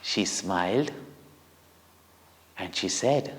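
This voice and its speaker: Indian man telling stories, lively swooping pitch. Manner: deliberate, dramatic phrasing in short pauses, simple words. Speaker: spiritual teacher telling stories to children. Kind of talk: devotional children's storytelling. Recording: long hall-like reverb on voice.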